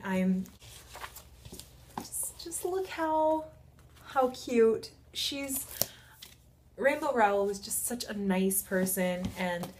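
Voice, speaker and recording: Young woman vlogging, some background noise. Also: a little high. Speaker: book vlogger. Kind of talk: casual vlog chat. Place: small room at home.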